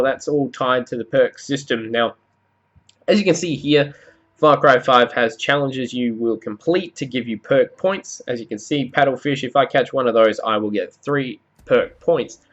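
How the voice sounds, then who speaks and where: A man's voice: medium pitch, dull audio. A man giving a conversational, explanatory video game review as commentary over gameplay.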